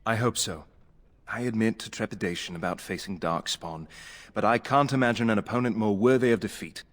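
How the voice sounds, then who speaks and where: A man's voice: fairly low pitch, fairly quick, in a large hall, close to an everyday voice. A man playing an earnest, courteous young squire who dreams of heroism, in a video game. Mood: earnest, eager.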